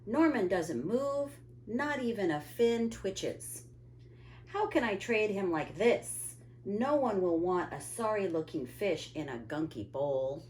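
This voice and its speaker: A woman reading a picture book aloud to children with expressive, drawn-out emphasis and little asides to her listeners. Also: mid-range voice, slight background noise. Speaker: storytime reader, teacher.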